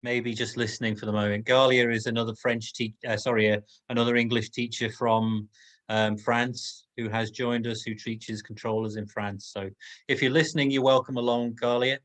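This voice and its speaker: A man with an average-pitched voice hosts an online meeting. He speaks casually, with a hesitant but welcoming manner as he introduces people. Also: flat delivery, normal speed, fairly bright tone. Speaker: meeting host.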